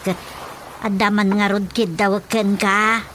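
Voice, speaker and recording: A woman's voice: mid-range voice, flat delivery, takes it slowly, very noisy, natural voice. A woman with an Ilocano accent playing a chatty, teasing middle-aged villager in a radio comedy drama. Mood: amused, grateful.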